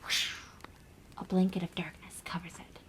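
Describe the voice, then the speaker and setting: A woman playing a gentle young girl on a camping trip in an ASMR roleplay, speaking softly and breathily with hushed, dramatic pauses. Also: lowish voice, little pitch movement, lightly acted. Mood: hushed, spooky. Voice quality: soft, breathy whisper. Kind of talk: ASMR roleplay, soft whispered storytelling.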